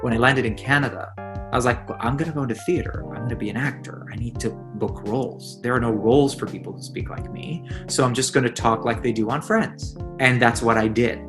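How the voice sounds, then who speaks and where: A man's voice: mid-range voice, brisk, music in the background. Candid Lebanese-born man, North American accent. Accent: North American, put on over Lebanese. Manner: candid, conversational. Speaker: stage actor. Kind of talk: interview.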